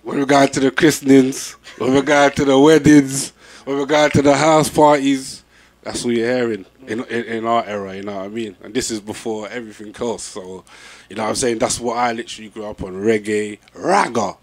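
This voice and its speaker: A young man with a London accent, chatting casually as an MC being interviewed, with a relaxed manner. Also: medium pitch, brisk. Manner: laid-back, peppered with "you know what I'm saying".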